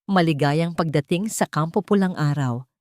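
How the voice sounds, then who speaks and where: A stern, wise older Filipino general, voiced by a woman storyteller, speaks as a godfather and mentor. The delivery is measured and instructive, in a lowish voice with a very dry sound. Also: a little mellow, no echo, lightly acted. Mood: stern welcome.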